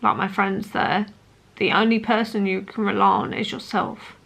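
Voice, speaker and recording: A woman in her late twenties talking personally to camera as a vlogger, in an earnest, hesitant, halting way. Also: medium pitch, little pitch movement, gravelly, fairly bright tone. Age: late 20s.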